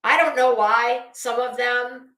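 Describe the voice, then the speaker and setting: A woman's voice: a little high, steady tone, slow pace, pushed and bright. A woman giving an unscripted opinion rant as a channel host, in a blunt, scornful and emphatic manner. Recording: roomy, echoing sound.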